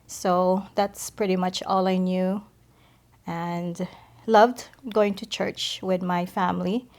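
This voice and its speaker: A middle-aged woman from the Philippines tells her story of faith as a guest, slowly and hesitantly. Her voice sits fairly low and stays level, with little rise or fall. She pauses often and fills the gaps with soft fillers. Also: level tone. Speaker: podcast guest. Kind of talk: personal faith testimony.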